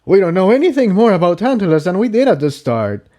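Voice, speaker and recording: A man's voice: high voice, big pitch swings, fast, mellow, pitched above the performer's own voice. A man voicing an anguished, angry young male unicorn pony in a fantasy story, agitated and argumentative. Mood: angry, bitter.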